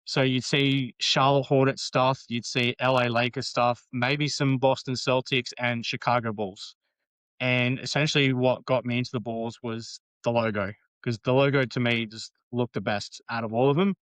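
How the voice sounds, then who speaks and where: A man's voice: mid-range voice, fairly even intonation, normal speed, fairly bright tone, no echo. A man in his late thirties with an Australian accent, chatting casually as a podcast guest, rambling with frequent ums and restarts. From Western Australia. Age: 30s.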